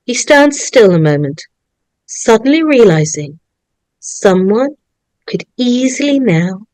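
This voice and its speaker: Female narrator for screenplay directions, medium pitch, unhurried and slow. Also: dry recording, rough recording. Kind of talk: reading screenplay stage directions aloud.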